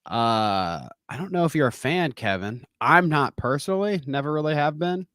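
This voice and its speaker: A man's voice: a little high, expressive, moderate pace, a bit bright, dry recording, clean studio sound. A man co-hosting a casual streaming podcast who laughs often and swears freely. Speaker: podcast co-host. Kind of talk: casual chat.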